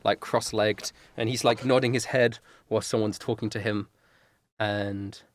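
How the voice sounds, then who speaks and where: A man's voice: fairly low pitch, steady tone, normal speed, no room sound. Man narrating a tabletop game. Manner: loose, filler-heavy describing. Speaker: dungeon master.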